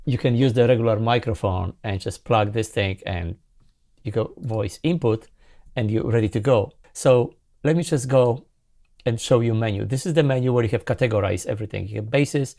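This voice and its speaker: A man in his forties, a non-native English speaker, hosting a casual synthesizer tutorial in an enthusiastic, halting way. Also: medium pitch, gravelly. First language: not English. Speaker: host, sound designer demonstrating a synthesizer plugin. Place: small home music studio, indoors. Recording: synthesizer music behind the voice. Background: loud synthesizer sounds and music.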